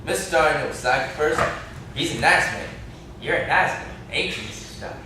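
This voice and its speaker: Casting: naive, touchy rustic dog breeder played by a young man, highish bright voice, amateur stage play. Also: expressive, brisk. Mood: placating. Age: young adult. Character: simple, touchy, pleading backwoods male dog breeder. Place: classroom, indoors. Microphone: far camera. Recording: echoey classroom, distant camera mic, noisy.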